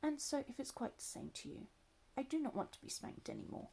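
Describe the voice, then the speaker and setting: A woman's voice: sits high, big pitch swings, brisk, vocal fry, warm tone, a little hiss or hum, pitched above the performer's own voice, full character voice. A woman voicing a curious, very polite young male elephant calf in a storybook, in a raised, eager voice. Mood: earnest, polite.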